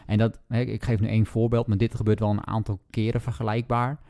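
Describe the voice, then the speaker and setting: A man co-hosting a book podcast in casual conversation, talking in a halting way and rephrasing as he goes. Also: on the low side, level tone, creaky, warm tone.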